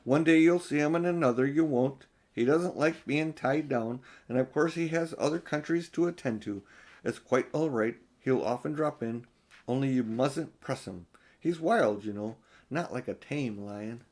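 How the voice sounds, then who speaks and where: A man's voice: medium pitch, normal speed, darkish tone, natural voice. Man reading as homely, reassuring storybook beaver. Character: kindly, knowing male talking beaver.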